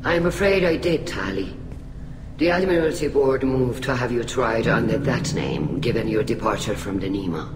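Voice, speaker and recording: An actress playing a dignified, caring middle-aged alien admiral in a video game, with a deep, husky voice and measured, formal speech. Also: female voice, lively intonation. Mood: warm, regretful. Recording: echoey hall with clear noise.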